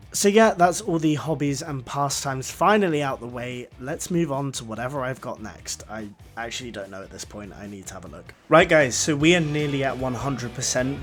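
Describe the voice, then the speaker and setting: Casual man narrating gameplay. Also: mid-range voice. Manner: chatty, self-deprecating, conversational. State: slightly croaky from illness. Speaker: gaming YouTuber.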